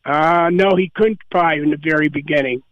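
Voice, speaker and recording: A man's voice: a little high, moderate pace, a bit bright, highs cut off. An American man calling in to a radio contest, chatting casually in an easygoing, good-humoured way. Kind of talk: casual phone-in chat.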